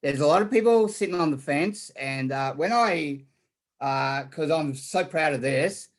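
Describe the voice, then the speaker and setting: Male guest from Australia, slightly high pitch, rambling chatty delivery with frequent fillers. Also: measured pace. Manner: rambling, repeats words, many fillers. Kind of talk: casual online interview.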